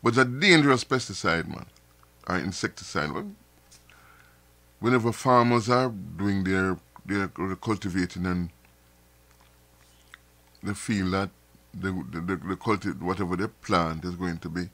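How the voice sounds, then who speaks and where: A man's voice: deep voice, unhurried, sharp tone, no room sound. A man hosting a live stream, talking casually to viewers with frequent repeats and restarts, sounding a little nasal from dust in his throat. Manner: rambling, repeats words and restarts often.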